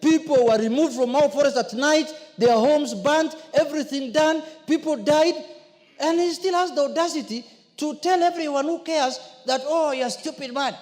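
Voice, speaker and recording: A man's voice: very high voice, normal speed, darkish tone. A Kenyan man, a member of parliament, giving a fiery, indignant floor speech at a desk microphone in a parliament chamber. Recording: long reverb of a large chamber.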